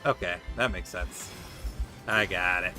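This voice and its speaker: A man's voice: sits low, measured pace, music in the background. A man giving casual gameplay commentary as he streams a video game.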